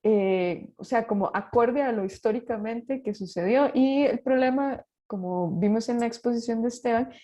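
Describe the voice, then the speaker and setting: A woman's voice: on the high side, moderate pace, hardly any pauses, mellow. A woman moderating a question session, phrasing her questions in a rambling way while searching for words. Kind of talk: moderating a webinar Q&A.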